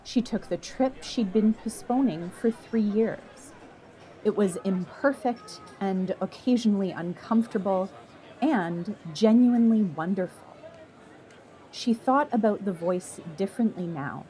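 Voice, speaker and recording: A woman reading a scripted literary story as narrator, in a measured, reflective manner. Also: mid-range voice, warm tone, rough recording.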